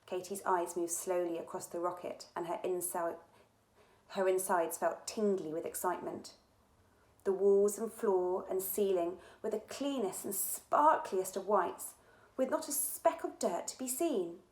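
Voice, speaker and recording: A woman author reading her own children's adventure book aloud in an animated storytelling style. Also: lowish voice, measured pace. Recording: echoey room.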